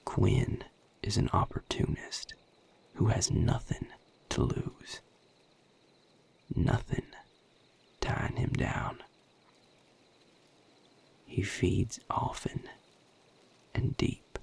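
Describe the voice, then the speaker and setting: A man playing a guarded, world-weary vampire in an intimate audio roleplay, with a Southern US accent and a breathy, gravelly drawl, speaking in measured, hushed phrases. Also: fairly low pitch, animated, whispered, exaggerated voice. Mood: grave warning. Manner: measured, hushed, plain-spoken with deliberate pauses. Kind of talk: intimate audio roleplay acting addressed to the listener.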